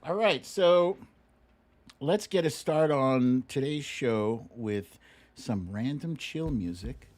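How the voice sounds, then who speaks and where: A man's voice: mid-range voice, lively intonation, slow pace, a little mellow. A middle-aged man hosting a livestream, chatting informally and playfully with viewers, stretching words and tossing in asides. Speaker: livestream show host. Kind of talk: casual livestream chat with viewers. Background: background music.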